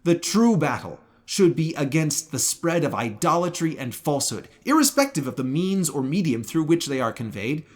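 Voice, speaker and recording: A man narrating a scripted religious commentary in a formal, measured way with precise enunciation. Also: fairly high pitch, very animated. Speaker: video essayist.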